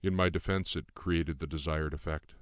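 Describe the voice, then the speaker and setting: A man voicing a calm, calculating otherworldly being in a formal, precise, didactic way for an audiobook. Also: very deep voice, normal speed, clear, resonant tone, no echo, clean studio sound. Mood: detached, explanatory. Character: Lucien, calm calculating otherworldly being in young man's form. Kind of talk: audiobook dialogue.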